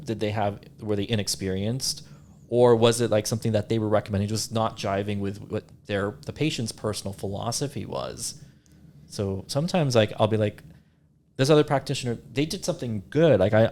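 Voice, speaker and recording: A man's voice: on the low side, darkish tone, slight background noise. A man chatting casually as a podcast host, often restarting sentences as he thinks aloud. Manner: halting, self-correcting, thinking aloud. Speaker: podcast host, naturopathic doctor.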